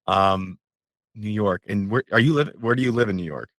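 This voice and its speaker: A man's voice: lowish voice, normal speed, a bit bright, dry recording, clean studio sound. A man hosting a podcast, asking easygoing, rambling questions. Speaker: podcast host. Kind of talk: casual interview chat.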